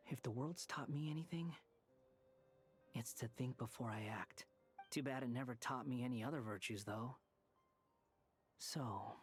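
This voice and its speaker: An actor playing a brooding, vengeful young man in a video game, speaking in a deliberate, cynical way. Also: medium pitch, natural voice.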